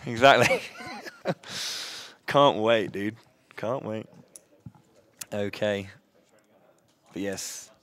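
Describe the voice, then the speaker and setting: A man hosting a live charity gaming marathon, loud-voiced and upbeat, chatting between runs with many fillers and restarts. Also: fairly low pitch, drawn-out words, pauses often, no echo.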